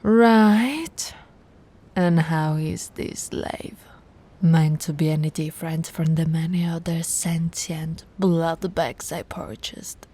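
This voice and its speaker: Woman playing a slow, haughty vampire noblewoman. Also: sits low, steady tone, warm tone, exaggerated voice. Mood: disdainful, bored. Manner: imperious, condescending, theatrical, often dropping to a breathy whisper. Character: haughty, possessive female immortal vampire aristocrat. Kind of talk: ASMR roleplay acting.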